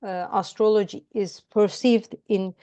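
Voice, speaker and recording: A woman's voice: medium pitch, steady tone, a little slow, dark tone, smooth, dry recording. A woman hosting a casual interview chat, feeling her way through roundabout questions padded with "I mean, like.". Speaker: interview host. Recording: close dry mic, dull lo-fi sound.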